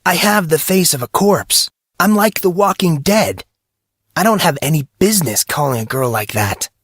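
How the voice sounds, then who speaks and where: A man's voice: on the high side, expressive, normal speed, a bit bright, breathy edge, no echo, clean studio sound, voice pushed up, full character voice. A man voicing an awkward, self-doubting teenage boy in an audio drama, stammering and exasperated. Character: awkward, flustered, self-doubting fourteen-year-old high school boy. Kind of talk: audio drama character acting.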